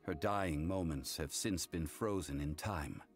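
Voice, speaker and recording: A man playing a calm, grave consultant in a video game, speaking formally and with solemn measure. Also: extremely low pitch, measured pace, soft background music. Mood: somber, measured. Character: calm, grave, worldly male consultant recounting hard truths. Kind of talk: English video game voice acting.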